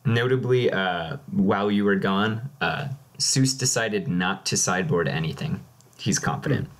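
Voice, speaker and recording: A man's voice: low-pitched, a little slow. A man doing casual live play-by-play commentary on a card game match.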